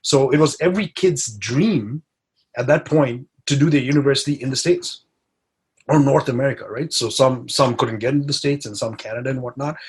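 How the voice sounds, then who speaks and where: A man's voice: medium pitch, husky. A man in his forties who grew up in Dubai, a podcast guest telling his story in a chatty way that keeps checking in with "right?" and "you know". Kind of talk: casual interview storytelling.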